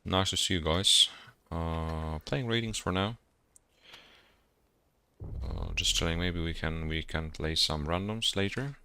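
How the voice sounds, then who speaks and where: A man's voice: low voice, measured pace, vocal fry, sharp tone, smooth. A young man from Poland with a Polish accent, a university student whose first language is Polish. He chats casually with viewers on a gaming livestream, greeting them and thinking aloud as he searches for words. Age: young adult. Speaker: gaming streamer. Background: game music.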